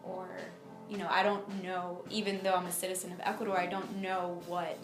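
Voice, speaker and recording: A young adult woman from Miami telling her personal story in an interview, speaking informally and haltingly with lots of filler phrases. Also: lowish voice, flat delivery, roomy sound, music in the background. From United States (Miami, Florida). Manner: informal, halting, frequent "like" and "you know".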